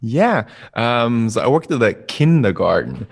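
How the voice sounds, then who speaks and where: A man's voice: medium pitch, very animated, unhurried, vocal fry, husky, no echo, studio quality. A young man with an Austrian accent, a guest telling his story on a call, upbeat and chatty. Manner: upbeat, chatty storytelling with frequent ums and laughs. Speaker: guest entrepreneur. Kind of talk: casual interview over a call. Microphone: remote call.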